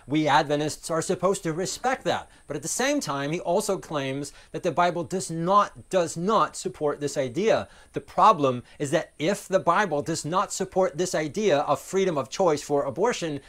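Casting: man, 30s, slightly high voice, emphatic critical commentator reading to camera. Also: fairly bright tone. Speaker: commentary vlogger. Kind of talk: scripted commentary. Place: studio green screen.

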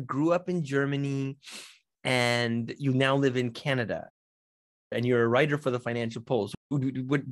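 Upbeat man hosting a live video interview. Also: medium pitch. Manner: chatty, upbeat, with restarts and hedges. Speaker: podcast host. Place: home studio. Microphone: studio mic on a boom, seen in frame.

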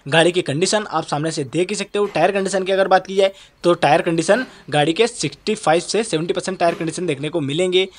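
Brisk high-voiced man touring a car lot. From India. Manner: brisk, repetitive salesman patter. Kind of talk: off-camera walkaround commentary. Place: outdoor used car yard under a shed.

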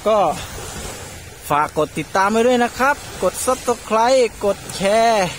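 A man hosting a casual vlog on a beach, giving animated, exclamatory play-along commentary. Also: very high-pitched, takes it slowly. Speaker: vlog host. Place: outdoors on a sandy seashore. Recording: noisy outdoor recording.

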